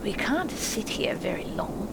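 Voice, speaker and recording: A woman's voice: mid-range voice, big pitch swings, measured pace, full character voice. A woman voicing a practical, kindly male rat in a storybook reading. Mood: urgent, practical. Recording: clearly noisy, sound effects behind.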